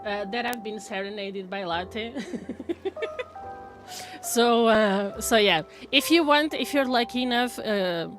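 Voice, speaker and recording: A woman's voice: mid-range voice, slow, soft background music. A woman from Portugal with a Portuguese accent, chatting casually with viewers on a live stream, laughing often and restarting her words.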